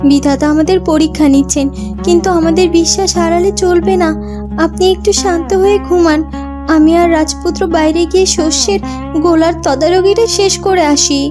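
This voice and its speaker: A woman voicing a gentle, devoted young princess in a fairytale cartoon, caring and reassuring. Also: very high voice, fairly quick. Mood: soothing, hopeful. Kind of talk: cartoon character dialogue. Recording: loud music bed behind the voice.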